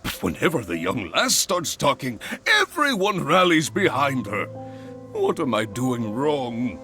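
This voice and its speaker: A man's voice: mid-range voice, huge pitch swings, a little slow, bright, forceful tone, faint music behind, heavily acted. A man playing a blustery, bossy, self-pitying older guild master in a video game, with theatrical, old-fashioned delivery. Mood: dejected. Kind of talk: video game character acting.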